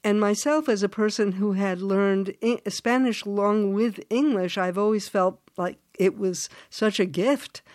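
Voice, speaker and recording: Slow-spoken older woman interviewer. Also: medium pitch, no room sound, studio quality. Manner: slow, warm, searching for words. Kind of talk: interview questions.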